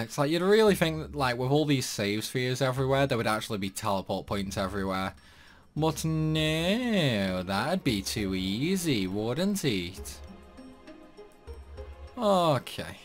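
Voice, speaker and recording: A man giving casual playthrough commentary on a video game, rambling along with grumbling asides. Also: lowish voice. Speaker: gaming video host. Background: game music.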